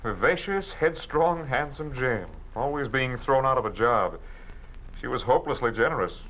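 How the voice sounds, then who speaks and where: An actor playing an expressive, polite family friend. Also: male voice, mid-range voice, normal speed, lo-fi sound, natural voice. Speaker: guest. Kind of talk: 1950s radio drama acting. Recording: faint hissy 1950s radio recording.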